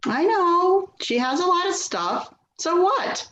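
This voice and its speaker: Woman acting an exasperated daughter, lively swooping pitch. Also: slow, lo-fi sound, heavily acted. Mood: impatient. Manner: impatient, dismissive. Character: exasperated, defensive grown daughter. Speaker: actor in a readers theater play. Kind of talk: dramatic play reading. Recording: roomy echo, slight background noise.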